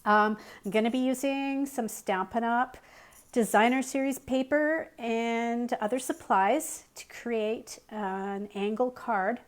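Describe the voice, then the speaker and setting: A woman's voice: on the high side, slow pace. A middle-aged woman hosting a casual live crafting tutorial, chatty and friendly, with frequent ums, her voice a little strained. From Canada. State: slightly losing her voice.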